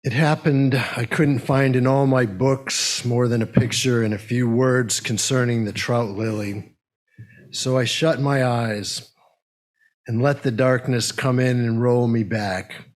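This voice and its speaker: A man leading a service, speaking in a halting way as he makes announcements and reads poetry to a congregation. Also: medium pitch, steady tone. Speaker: service leader and poem reader.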